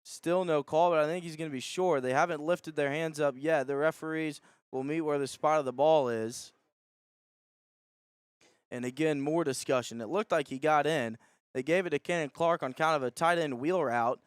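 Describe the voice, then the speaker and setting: Clear, dry-sounding male football play-by-play announcer. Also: a little high, moderate pace, dry recording. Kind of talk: live high school football radio commentary. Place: live from a high school football stadium.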